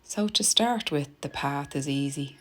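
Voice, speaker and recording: Very deep-voiced woman guiding meditation. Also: animated. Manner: soothing, gentle, deliberate with soft phrase endings. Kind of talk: guided meditation narration. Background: meditation music.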